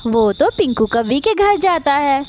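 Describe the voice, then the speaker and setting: Woman reading out a cartoon story, takes it slow, high voice. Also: very animated, darkish tone, a little hiss or hum. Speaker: story narrator. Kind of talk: scripted cartoon story narration.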